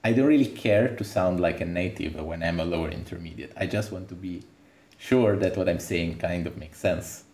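A man hosting a podcast. He talks softly and hesitantly in a casual conversation. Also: low voice, moderate pace, reverberant. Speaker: podcast host.

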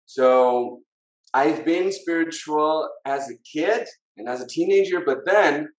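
A man's voice: a little high, takes it slowly. A man in his thirties with a Portuguese accent, talking reflectively as a podcast guest and searching for words. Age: 30s. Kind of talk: reflective interview talk. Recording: roomy, echoing sound.

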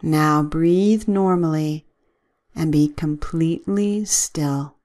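A woman leading a guided relaxation with gentle, soothing, measured instructions and long pauses. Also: low-pitched.

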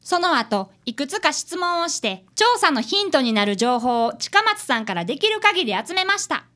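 A woman's voice: sits high, sing-song, moderate pace, pushed and bright, exaggerated voice. A woman playing a polite, helpful young assistant at a detective agency, who also narrates, in a radio drama. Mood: narrating.

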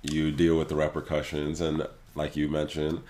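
Hoarse, very deep-voiced man hosting a podcast. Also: completely flat delivery, normal speed, darkish tone. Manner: laughs often, loose and profane. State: hoarse, lost voice. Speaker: podcast host. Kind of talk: casual chat.

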